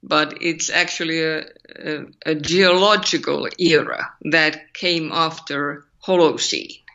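A woman's voice: deep voice, fairly even intonation, takes it slowly, pushed and bright, muffled recording. A woman from Finland with a Finnish accent, an ethics scholar thinking aloud in an interview and asking rhetorical questions. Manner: thoughtful, searching, asks rhetorical questions. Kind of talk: interview over a call.

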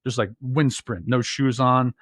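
A man from New Jersey with a loud, booming bass voice, co-hosting a casual sports podcast. He rambles and restarts his sentences, padding them with "you know." The sound is dry and close, with no room echo. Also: fairly even intonation, unhurried. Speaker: podcast co-host.

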